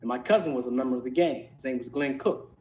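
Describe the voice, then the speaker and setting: A man in his fifties from Philadelphia with an American accent, reminiscing casually as an interview guest in a halting way, repeating words as he goes. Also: a little mellow. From Philadelphia, United States. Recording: dull lo-fi call, rumbling noise.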